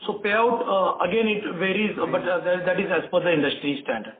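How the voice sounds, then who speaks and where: A man with an Indian accent answering analysts as a company executive on an earnings call, haltingly and repeating words, over a phone conference line. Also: high-pitched, narrow, tinny audio, slight background noise.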